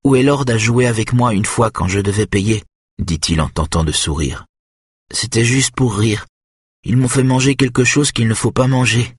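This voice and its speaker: A man's voice: mid-range voice, expressive, moderate pace, husky, no room sound, voice pushed up. A man voicing a big childlike young man, anxious and innocent, who talks in a simple, eager way close to tears. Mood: frightened.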